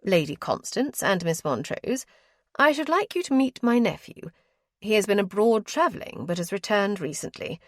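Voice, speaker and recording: A woman's voice: medium pitch, big pitch swings, normal speed, no echo, clean studio sound. A woman voicing a fussy older aristocratic aunt who is busy matchmaking, speaking in a genteel, coaxing way.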